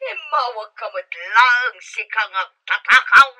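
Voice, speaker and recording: A sharp, haughty Ilocano madam. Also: female voice, fairly high pitch, lively intonation, takes it slowly, dry recording, full character voice. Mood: accusing, angry. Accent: Filipino, Ilocano. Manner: scolding, imperious. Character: haughty, accusing lady of the house, hot-tempered employer. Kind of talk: radio drama acting.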